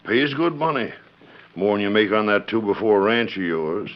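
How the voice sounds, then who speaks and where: An actor playing a gruff, folksy older sheriff in a 1940s radio western, blunt and full of frontier slang. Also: male voice, on the low side, expressive, normal speed, close to an everyday voice. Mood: persuasive. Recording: dull 1940s radio recording.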